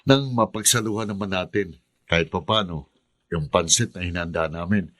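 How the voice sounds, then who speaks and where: A man's voice: low-pitched, moderate pace, a bit bright, no room sound, clean studio sound. An actor playing a kindly, easygoing older brother, a young working man, speaking casually and apologetically in a radio drama. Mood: friendly, apologetic.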